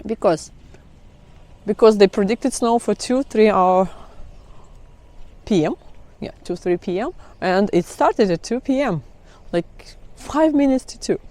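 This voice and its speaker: A woman's voice: medium pitch, measured pace, pauses often, warm tone. A middle-aged woman with a Russian accent, chatting casually in a vlog, often laughing and phrasing things like a non-native speaker. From Kazakhstan. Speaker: vlogger. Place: outdoors by trees in melting ice.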